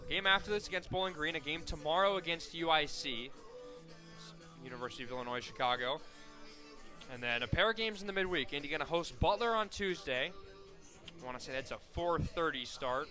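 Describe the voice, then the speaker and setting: Bright-voiced radio play-by-play man. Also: a little high, a little fast, faint music behind. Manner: steady, fact-filled call that rises sharply on big plays. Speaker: play-by-play announcer.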